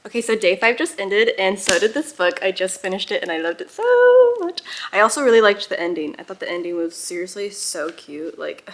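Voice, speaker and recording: A woman's voice: mid-range voice, wildly swooping pitch, brisk. A young woman chatting casually to viewers as a book vlogger, with a gushing, giggly and emphatic manner. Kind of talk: casual reading vlog chat.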